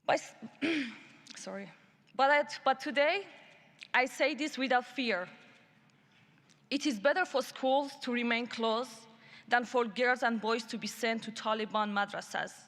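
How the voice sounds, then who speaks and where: A woman from Iran addresses a human rights summit from the stage of a large conference hall. Her voice sits a little high, and she lays out each point in a measured, emphatic way. A long reverb trails behind her words. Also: a bit bright. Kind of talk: prepared speech.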